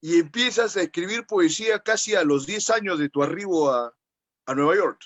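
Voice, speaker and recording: A man hosts a radio interview in a slightly high voice recorded close and dry. He keeps the talk moving with quick agreements and short follow-up questions. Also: a bit bright, dry recording. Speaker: radio show host.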